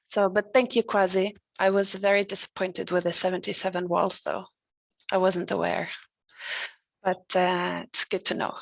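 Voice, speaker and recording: Norwegian-born woman on a video call panel, creaky, monotone, relaxed with fillers. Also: on the low side, normal speed, no echo. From Norway. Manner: relaxed, conversational, hesitant fillers. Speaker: discussion participant. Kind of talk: online panel discussion.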